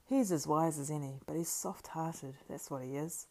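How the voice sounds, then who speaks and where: A woman reading the thoughts of a plain-spoken, doubtful male hobbit servant, muttered low and homely. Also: normal speed, dark tone. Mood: muttering.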